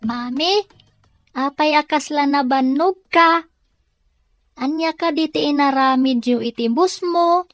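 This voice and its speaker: Woman as an eager high-voiced young girl. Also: slow, long pauses, dry recording, faint music behind. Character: eager, pleading young daughter. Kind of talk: Ilocano radio drama acting.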